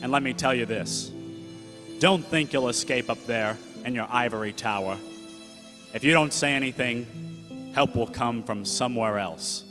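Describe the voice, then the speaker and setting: A man's voice: medium pitch, a little slow, a bit bright, close to an everyday voice. A man playing a defiant, principled uncle who talks in gangster-era slang in a radio play. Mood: solemn. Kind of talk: old-time gangster radio play acting. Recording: echoey hall with music behind.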